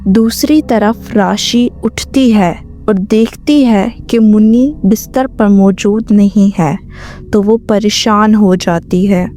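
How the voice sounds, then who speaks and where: Slow-paced woman storyteller over music. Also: mid-range voice, warm tone. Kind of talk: scripted story narration.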